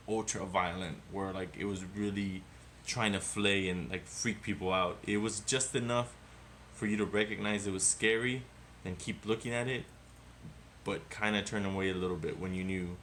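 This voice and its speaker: A man co-hosting a casual movie review podcast, talking in an animated, rambling way and swearing often. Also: on the low side, normal speed, a little hiss or hum. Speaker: podcast co-host.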